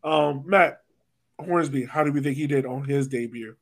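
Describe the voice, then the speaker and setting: A man's voice: mid-range voice, moderate pace. A man moderating a sports podcast in a casual, conversational style. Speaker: podcast host moderating the discussion. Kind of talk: casual chat.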